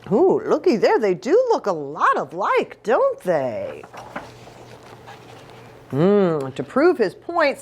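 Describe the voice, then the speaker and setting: A woman reading a storybook aloud to children in an expressive way, as a pastor who engages her listeners. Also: medium pitch. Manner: expressive, asks the listeners questions.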